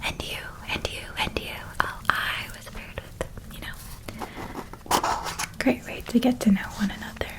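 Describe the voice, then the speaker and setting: A woman voicing a gentle, doting witch in a soft, breathy ASMR roleplay whisper, tender and affectionate. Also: close to an everyday voice. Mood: warmly excited. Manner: slow, tender, affectionate, lingering on words. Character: gentle, doting older witch woman helping with school shopping.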